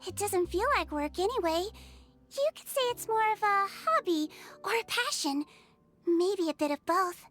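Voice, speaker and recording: A very high, girlish game character voice, playing a small magical nurse creature. She is polite, caring and a little quirky, speaking in a sweet, chirpy bedside manner over background music. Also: lively intonation, moderate pace, a bit bright. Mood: chatty, helpful. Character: small cheerful melusine nurse girl, caring and gently quirky. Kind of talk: animated video game character voice acting.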